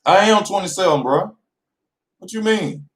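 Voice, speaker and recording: A man's voice: high-pitched, lively intonation, normal speed, fairly bright tone. A man in his twenties hosting a casual vlog monologue, talking loosely and slangily straight to his viewers. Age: 20s. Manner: loose, rambling and slangy, addressing viewers directly. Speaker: boxing channel host. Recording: echoey room.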